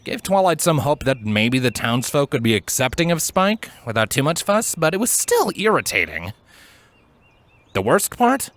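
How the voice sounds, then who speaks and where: A man reading a story aloud as narrator, in an expressive, measured, dramatic storytelling style. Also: fairly high pitch, no room sound. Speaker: fan fiction narrator. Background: background music.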